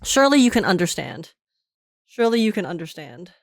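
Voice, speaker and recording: A woman's voice: on the low side, big pitch swings, fairly quick, vocal fry, no echo, clean studio sound. A young woman live-streaming a game with casual, slangy reactions, expressively repeating phrases for effect.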